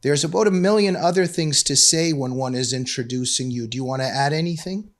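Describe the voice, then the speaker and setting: A man hosting a talk show, speaking emphatically in deliberate, drawn-out phrases. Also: on the high side. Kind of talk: scripted intro and interview talk.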